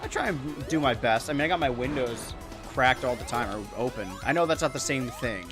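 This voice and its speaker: A young American man with a monotone voice, chatting loosely while streaming a video game, rambling with many restarts. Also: mid-range voice, brisk, over music. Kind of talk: casual gameplay chat.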